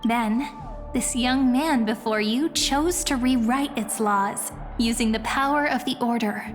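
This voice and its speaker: A woman playing a gentle, earnest young guide in a video game, explaining things in a measured way. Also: on the high side, close to an everyday voice. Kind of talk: video game story dialogue. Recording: background music under the voice.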